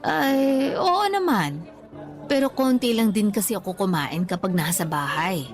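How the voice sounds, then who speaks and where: A woman playing a forgiving, self-effacing older mother in a radio drama, meek and gently defensive. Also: medium pitch, expressive, a little slow, soft background music, natural voice. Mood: grateful, evasive. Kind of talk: Tagalog radio drama acting.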